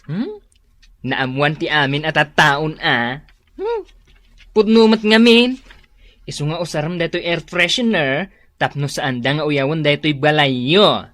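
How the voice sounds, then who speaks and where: A woman with an Ilocano accent playing a sassy, gossipy neighbour who sells air fresheners in a comedy radio drama, teasing and salesy with drawn-out exclamations. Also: deep voice, big pitch swings, a bit bright, no echo, full character voice.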